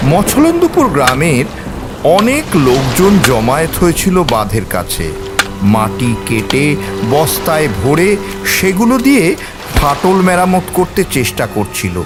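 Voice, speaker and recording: A man narrating a scripted story in a theatrical, suspenseful way, with music and storm ambience behind. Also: on the high side.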